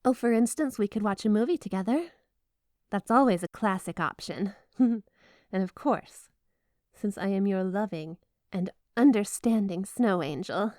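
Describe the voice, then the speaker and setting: Woman doing a clingy yandere wife for asmr roleplay, sugary and coy but kinda menacing, mid pitch, super dry close sound. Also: measured pace, dry recording, lightly acted. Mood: coy, flirty. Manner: gushing, coy, giggly, with dark possessive undertones. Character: flirty, obsessive yandere wife with snow magic, sweetly menacing. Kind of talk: ASMR roleplay, addressing the listener as spouse. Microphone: close, dry microphone.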